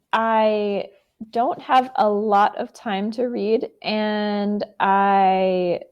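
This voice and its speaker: Slow-speaking American woman tutor. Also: mid-range voice, darkish tone. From United States. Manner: slow, encouraging, with um and uh fillers. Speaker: English tutor. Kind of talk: online tutoring conversation. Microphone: video call.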